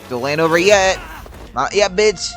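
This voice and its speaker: A man streams a game with casual, blunt reactions in a mid-pitched voice. He speaks in short, unhurried bursts full of swearing and exclamations, with quiet music underneath. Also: lively intonation. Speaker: gaming streamer reacting to gameplay. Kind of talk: casual, profane gameplay reaction.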